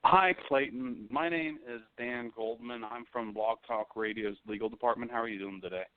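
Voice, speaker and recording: A man's voice: medium pitch, moderate pace, natural voice. A man prank-calling a radio show as a polite, mock-serious company lawyer who keeps asking probing questions. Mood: polite, official. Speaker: prank caller. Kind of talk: phone call to a radio show. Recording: narrow tinny phone line.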